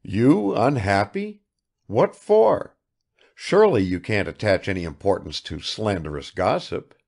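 A man's voice: lowish voice, lively intonation, measured pace, gravelly, darkish tone, full character voice. Man acting blunt, brooding young sceptic, emphatically swooping. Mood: incredulous, insistent. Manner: harsh, muttering, argumentative. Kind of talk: dramatised literary audiobook dialogue.

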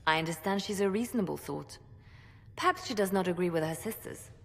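An actress playing a poised female ambassador who speaks in a gracious, measured, formal way. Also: fairly low pitch, animated, vocal fry, big-room sound. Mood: composed. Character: poised female court ambassador, polite and diplomatic.

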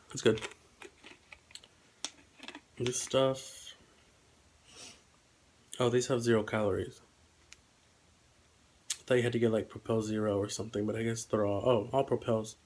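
Young man with flat, congested voice. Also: on the low side, measured pace, pauses often. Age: young adult. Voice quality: slightly congested. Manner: hesitant, rambling. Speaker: vlogger. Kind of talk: casual eating vlog chat. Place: small room indoors at home.

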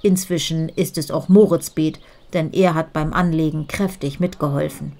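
Ruhige Vorleserin mit tiefer Stimme. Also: female voice, low-pitched, fairly even intonation, normal speed, dark tone, a little hiss or hum. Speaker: storybook reader. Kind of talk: scripted picture book narration.

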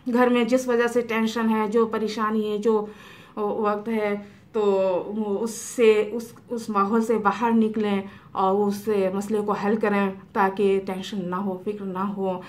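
A middle-aged woman homeopathic doctor, explaining remedies to camera in an unhurried way with many fillers, in a small room. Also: mid-range voice, little pitch movement. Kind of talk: health advice talk to camera. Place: small indoor room by a curtained window.

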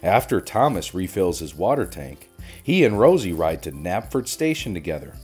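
A man narrating a children's storybook in an expressive read-aloud style. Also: deep voice, moderate pace. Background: music.

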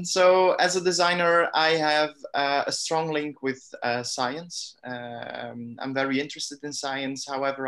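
A man in his thirties from Belgium, a designer talking from his studio on a video call, steady and measured. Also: medium pitch. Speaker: designer, panelist. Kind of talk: casual panel talk. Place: studio workspace.

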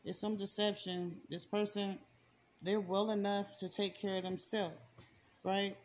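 A woman's voice: mid-range voice, level tone, unhurried. Emphatic woman giving a spiritual card reading. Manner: repetitive, emphatic, slangy, talks to viewer directly. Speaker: spiritual reader. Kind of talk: casual spoken card reading. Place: indoors at a table, hands and cards on camera.

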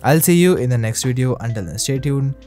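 A man narrating a scripted software tutorial as an upbeat, instructional YouTube host who addresses viewers directly. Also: mid-range voice, lively intonation, a little fast. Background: background music.

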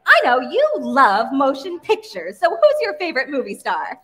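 An American woman hosting a 1940s-style radio variety show on stage, with an animated, theatrical delivery. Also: high voice, moderate pace. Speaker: radio show host and presenter. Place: stage in a museum event hall. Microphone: stand microphone on stage.

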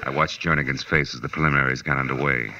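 An actor playing a wry, tough but kind private detective in 1940s radio drama, clipped, slangy and sardonic. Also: male voice, a bass voice, steady tone, quick pace, sharp tone, exaggerated voice. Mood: brooding. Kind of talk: 1940s radio drama acting, hard-boiled first-person narration. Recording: dull 1940s radio recording, faint music.

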